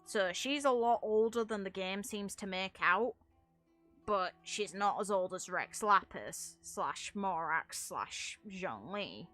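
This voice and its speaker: A woman's voice: medium pitch, expressive, slow, a bit bright. A woman giving casual commentary on game lore, thinking aloud in a rambling, musing way. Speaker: gaming video creator and streamer. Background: music.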